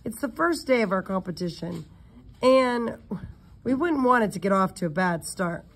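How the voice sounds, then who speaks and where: A woman's voice: medium pitch, lively intonation, normal speed, husky. A woman voicing an earnest, thoughtful girl spy in a storybook, sincere and slightly cautious.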